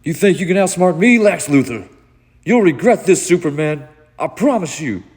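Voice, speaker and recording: A man voicing an enraged supervillain vowing revenge in a forceful, threatening, theatrical way for a comic read-along. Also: fairly high pitch, expressive, moderate pace, husky, voice pushed up. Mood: furious, defiant. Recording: long hall reverb.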